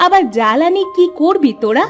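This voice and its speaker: A woman's voice: very high voice, expressive, a little fast, soft background music, voice pushed up. A woman voicing a kind-hearted village mother in a children's cartoon, earnestly chiding her daughters. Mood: reproving.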